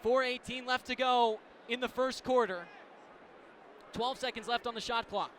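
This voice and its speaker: High-pitched male play-by-play announcer, noisy background. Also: normal speed. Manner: clipped present-tense phrases, rising to excited calls. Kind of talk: live lacrosse radio commentary. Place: live broadcast at a sports venue. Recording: noisy outdoor stadium ambience.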